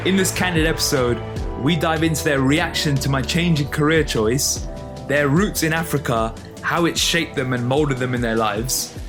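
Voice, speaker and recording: A man hosting a podcast episode and interviewing his parents in an upbeat, lively way. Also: on the high side, normal speed, over music. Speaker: podcast host.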